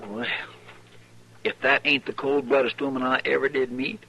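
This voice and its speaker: Folksy frontier sidekick on noisy recording. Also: male voice, medium pitch, fairly even intonation, moderate pace, creaky, sharp tone. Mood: wry. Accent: rural American West. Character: folksy, plain-spoken sidekick of a frontier marshal. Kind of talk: old-time radio western acting. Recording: noisy old radio with crackly clicks.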